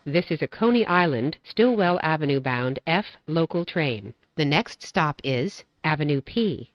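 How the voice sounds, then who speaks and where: A woman reading scripted train announcements as a transit announcer, in a measured, formulaic way. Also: sits low, lively intonation, no echo, dull audio.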